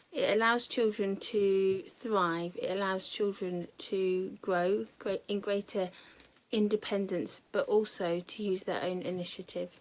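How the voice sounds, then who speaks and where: A woman with a mid-pitched voice gives a scripted testimonial as a school head. Her phrasing is careful and formal, like a prepared statement. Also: poor audio.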